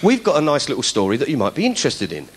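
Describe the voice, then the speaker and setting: A middle-aged comedian telling a story to a studio audience, animated and putting on voices for the people he quotes. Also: medium pitch, racing, slightly rough. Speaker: comedian host.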